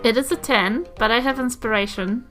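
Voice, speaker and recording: A woman's voice: a little high, fairly quick. A woman chatting casually and playfully as a player in a tabletop game, giggly and mock-indignant. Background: faint background music.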